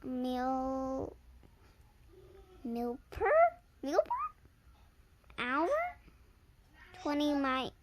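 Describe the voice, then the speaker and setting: A young storyteller reading aloud haltingly, sounding out and repeating words, recorded on a handheld phone. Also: high-pitched, animated, very noisy. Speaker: reader and channel host.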